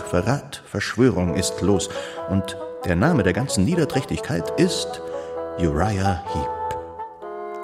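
An actor playing a pompous, indignant, debt-ridden gentleman in a radio play, speaking in a florid, declamatory way. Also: lowish voice, lightly acted. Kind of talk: German radio play acting. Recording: studio radio drama with music.

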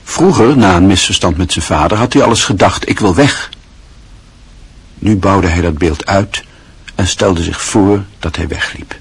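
Low husky guy reading a radio play story, calm and measured. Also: no echo. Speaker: narrator. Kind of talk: scripted literary narration for a radio play.

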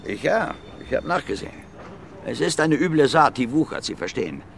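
An actor playing a gruff, blunt violent brawler in his fifties under questioning in a radio drama, plain-spoken and repetitive. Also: mid-range voice, big pitch swings, brisk, a little hiss or hum. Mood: brooding, insistent. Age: 50s. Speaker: suspect being questioned.

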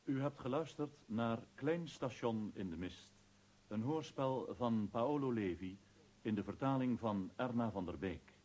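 A husky-voiced man reading a cast list as a formal radio announcer. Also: mid-range voice, lively intonation, a little slow, darkish tone. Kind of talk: scripted credit reading.

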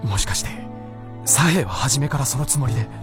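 An actor playing an earnest, curious fox spirit in a radio drama, speaking politely. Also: male voice, mid-range voice, lively intonation, fairly quick, lightly acted. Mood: puzzled, curious. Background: music.

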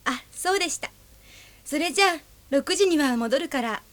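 A woman's voice: high-pitched, lively intonation, moderate pace, a bit bright. A woman playing a cheerful, bubbly young friend in an amateur radio drama.

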